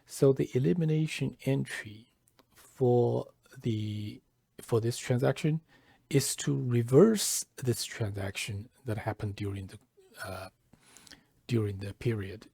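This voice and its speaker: A man presenting a software tutorial step by step, halting and deliberate, often pausing mid-phrase. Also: mid-range voice, vocal fry, husky, no room sound, clean studio sound. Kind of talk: step-by-step tutorial narration, lightly scripted.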